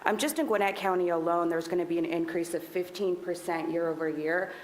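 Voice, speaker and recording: A woman presenting to a city council work session, speaking loudly in a relaxed, conversational way with many fillers. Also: on the low side, brisk. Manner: loud voice. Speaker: task force presenter. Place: council meeting room. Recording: echoey meeting room with faint noise.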